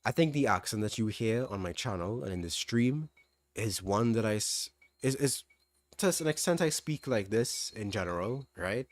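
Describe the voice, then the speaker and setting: Low-voiced Trinidadian man, relaxed livestream chat. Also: normal speed, dry recording. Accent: Trinidadian, fairly standard. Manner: relaxed, thinking aloud with restarts and soft laughs. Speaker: livestream host answering chat. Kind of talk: casual livestream Q&A.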